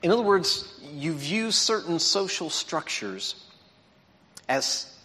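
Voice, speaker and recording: A man's voice: on the high side, big pitch swings, slow pace, bright, forceful tone. A man preaching a lesson in a conversational, explanatory way, checking in with his listeners as he goes. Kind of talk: sermon lesson. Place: large auditorium. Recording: long reverb in an auditorium.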